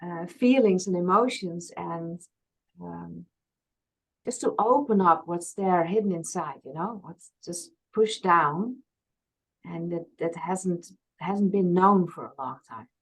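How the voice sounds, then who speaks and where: A woman's voice: lowish voice, slow, poor audio. A woman from Friesland with a Dutch accent, a past life regression therapist talking earnestly and openly as an interview guest. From Friesland, Netherlands. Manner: earnest, open, laughs at herself. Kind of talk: reflective conversational interview.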